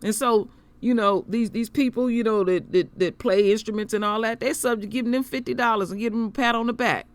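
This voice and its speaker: A man's voice: steady tone, brisk. A middle-aged man hosting his own commentary, talking unscripted and passionately to listeners he calls his family, heating up into rants. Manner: impassioned, preachy, addresses listeners as royal family; heated and ranting, rising pitch when angry. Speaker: online commentary host.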